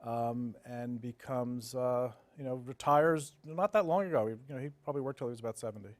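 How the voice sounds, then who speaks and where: A man in his fifties with a mid-pitched voice, answering questions in an interview. He recounts things in a casual, matter-of-fact way. The sound is close and dry, with no room echo. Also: brisk. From New Jersey, United States. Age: 50s. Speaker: interview guest, development executive. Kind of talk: conversational interview storytelling.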